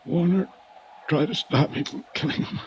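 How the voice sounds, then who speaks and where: A man's voice: a little high, normal speed, slight background noise, natural voice. Brief: a man playing a dying, confused killer, faint and broken, on a dull vintage radio recording. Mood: fading. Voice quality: weak, faltering.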